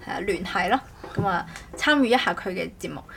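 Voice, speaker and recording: A woman's voice: mid-range voice, lively intonation, a little fast, gravelly, fairly bright tone, slight background noise. A woman chatting casually as a podcast host, rambling and often correcting herself. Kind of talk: casual chat.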